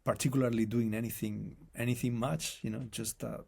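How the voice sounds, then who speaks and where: Accented male guest, husky and gravelly, thoughtful and halting. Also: medium pitch, steady tone, darkish tone. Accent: non-native English accent. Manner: reflective, halting, restarts phrases often. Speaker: guest musician. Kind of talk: casual conversation.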